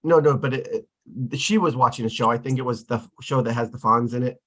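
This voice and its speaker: A man's voice: medium pitch, brisk, a bit bright. A man chatting casually in a group, tentative and often correcting himself mid-thought. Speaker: table read participant.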